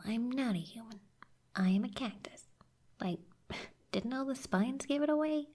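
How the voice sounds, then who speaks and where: A woman acts out a young cactus girl in an ASMR roleplay, teasing and blunt with a caring core. Her voice is mellow and husky with plenty of breath in it. She speaks at an unhurried pace, gently encouraging the listener. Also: mid-range voice. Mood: teasing, indignant.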